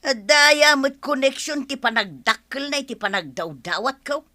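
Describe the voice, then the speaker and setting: A woman's voice: high-pitched, moderate pace, bright, forceful tone. A woman acting a stubborn, hopeful, argumentative character in an audio drama. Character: stubborn, hopeful woman believing her mole brings money.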